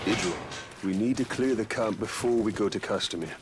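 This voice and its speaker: A man's voice: lowish voice, brisk, lightly acted. A man playing an earnest, dutiful soldier in a fantasy video game, speaking in a grave, formal manner. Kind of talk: video game character acting. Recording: clear background noise.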